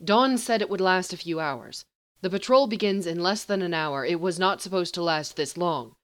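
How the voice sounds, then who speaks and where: A woman's voice: on the low side, moderate pace, dry recording, natural voice. A woman voicing a tense, blunt male soldier who talks curtly. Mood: strained. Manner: curt and strained. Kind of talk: storybook reading.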